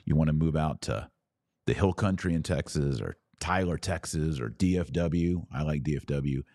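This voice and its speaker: An American man hosting a podcast, giving conversational commentary in an emphatic way with deliberate phrasing and pauses. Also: rumbling low, darkish tone, no room sound, studio quality. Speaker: podcast host. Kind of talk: conversational commentary answering listener questions.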